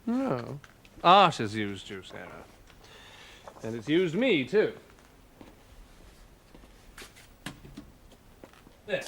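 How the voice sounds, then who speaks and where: An actor playing a wry, teasing bohemian gentleman painter in a period drama. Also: mid-range voice, wildly swooping pitch, slow, full character voice. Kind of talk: period television drama acting.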